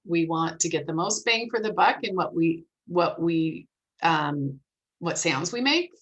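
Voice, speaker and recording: A woman's voice: low-pitched, a bit bright, low-quality recording. A woman hosting an online group discussion in an encouraging, explanatory way, talking casually like an academic. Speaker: host of a phonetics club meeting, academic.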